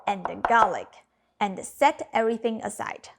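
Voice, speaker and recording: Higher-voiced woman teaching a recipe. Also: lively intonation, normal speed, heavy background noise. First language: Cantonese. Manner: clear step-by-step instructional delivery. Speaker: cooking channel host. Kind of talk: scripted cooking narration. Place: home kitchen.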